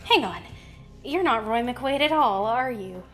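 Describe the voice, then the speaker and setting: An actress plays a defiant young woman in an audio drama. Her high voice is bright and forceful, emphatic and taunting, quick to challenge. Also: expressive, faint music behind. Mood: cold, challenging.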